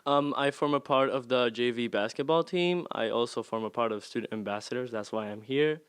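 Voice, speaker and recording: A man's voice: medium pitch, fairly quick, a bit bright, clear, resonant tone, dry recording. A teenage boy from Spain, speaking English, shy and giggly as he introduces himself. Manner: shy, giggly, simple phrasing.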